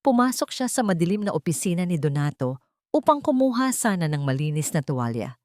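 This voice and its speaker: A woman's voice: lowish voice, sing-song, fairly quick, darkish tone, dry recording, studio quality. A woman narrating a scripted dramatic story as an audiobook.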